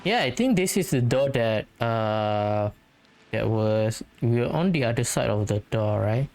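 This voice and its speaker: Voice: mid-range voice, expressive, a little slow, darkish tone. A gamer doing casual commentary on their own playthrough, tentatively muttering and thinking aloud. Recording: game music under the voice. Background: video game music.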